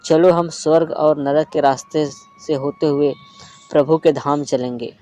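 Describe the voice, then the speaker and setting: A man voicing a stern yet kind male god of death who speaks in a calm, instructive way. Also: a little high, unhurried, natural voice. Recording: faint flute music behind.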